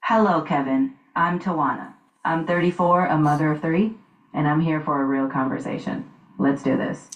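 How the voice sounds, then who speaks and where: Woman doing an earnest mom of three, low voice, direct, echoey room. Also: steady tone, moderate pace, dull audio, close to an everyday voice.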